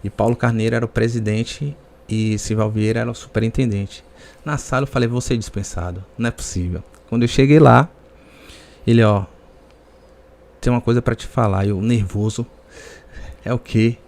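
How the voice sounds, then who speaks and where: A man's voice: mid-range voice, fairly even intonation, moderate pace, creaky, pauses often, darkish tone. A man from the Salvador area with a Bahian Brazilian accent, speaking as a podcast guest in conversational personal storytelling.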